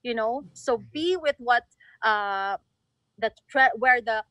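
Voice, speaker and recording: A woman's voice: on the high side, takes it slowly, fairly bright tone, dry recording. A woman from the Philippines with a Filipino accent, talking casually and earnestly as an interview guest, often saying you know. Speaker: guest, creative designer.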